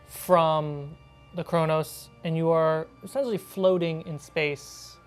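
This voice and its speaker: Woman, low voice, game master, tabletop narration, music behind. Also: steady tone, slow pace.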